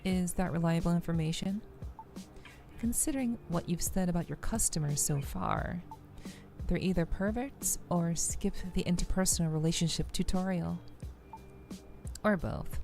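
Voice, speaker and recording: A woman streamer reads game dialogue aloud and comments on it. Her voice is mellow and on the low side, with heavy vocal fry. Faint music sits behind her. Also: moderate pace. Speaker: streamer, VTuber. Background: faint background music.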